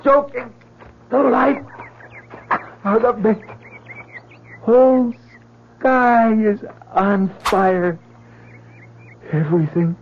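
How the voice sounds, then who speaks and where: An actor playing an ailing, urgent spy who poses as a doctor, with halting, breathless, repetitive speech in old-time radio drama style. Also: male voice, high-pitched, fairly even intonation, exaggerated voice. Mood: choking, desperate. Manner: halting, breathless, repeating words. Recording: muffled noisy old radio recording.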